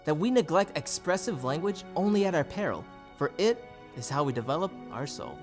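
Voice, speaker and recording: A man's voice: on the high side, sing-song, brisk, soft background music. A man giving a scripted, staged recitation as a readers theater performer.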